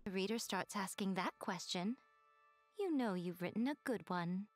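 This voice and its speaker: A woman voicing a sly, teasing, elegant fox-spirit lady in an anime game, with coy, composed, drawn-out theatrical delivery. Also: mid-range voice, animated, faint music behind, lightly acted. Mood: amused, sly. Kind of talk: anime game character voice acting.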